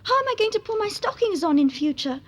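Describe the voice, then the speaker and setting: A woman voicing a curious, polite little girl in a cartoon, with a proper, expressive and dramatic manner. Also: very high-pitched, quick pace. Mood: alarmed. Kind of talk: animated cartoon dub acting.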